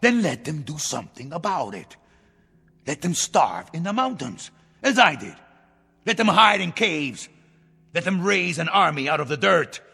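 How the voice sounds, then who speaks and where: An actor playing a paranoid, bitter revolutionary general in a 1960s television drama, with a theatrical, deliberate, menacing delivery. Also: male voice, fairly high pitch, big pitch swings, gravelly, pushed and bright, husky, exaggerated voice. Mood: defiant, ruthless. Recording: old TV soundtrack, echoing, music underneath.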